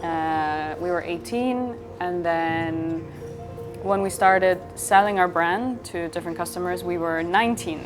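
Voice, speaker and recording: A woman's voice: lowish voice, measured pace. A woman from Switzerland talking as a company founder in an interview, telling stories in a casual, conversational way and quoting imagined dialogue. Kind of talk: interview storytelling in casual speech. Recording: clear background music.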